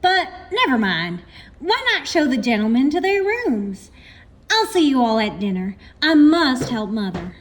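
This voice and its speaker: An actress playing a gentle, soft-spoken young woman about to be married. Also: sits high, sing-song, measured pace, a little hiss or hum. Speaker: Susie Gibson.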